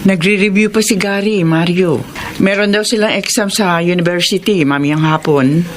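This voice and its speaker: A Filipino actress playing a calm, understanding wife in a radio drama. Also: female voice, fairly low pitch, moderate pace, slight background noise, natural voice. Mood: reassuring.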